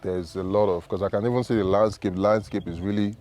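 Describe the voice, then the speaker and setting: A man's voice: on the low side, fairly even intonation, fast, darkish tone. A man with a Nigerian accent hosting a casual interview vlog in a chatty, informal way. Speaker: video host and interviewer.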